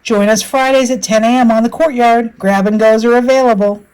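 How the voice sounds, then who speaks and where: A woman's voice: on the high side, steady tone, brisk, a little mellow, clear, resonant tone, low-quality recording. A woman announcer reading scripted promotional narration about community programs. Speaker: library announcer.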